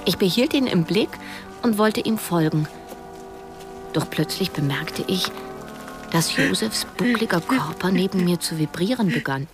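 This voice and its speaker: An actress playing a reflective, wistful narrator who tells her story in measured, literary radio drama style. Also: fairly low pitch, animated, over music. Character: reflective woman narrator recalling events, wistful.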